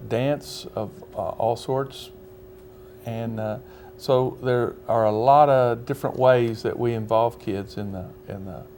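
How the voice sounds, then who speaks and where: A pastor in a mid-range male voice, answering questions as a guest on a local TV chat. He speaks haltingly, filling the gaps with "uh" as he goes. A faint hiss lies under the studio sound. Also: medium pitch, fairly even intonation, a little mellow. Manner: halting, many uh fillers. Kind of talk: interview answers.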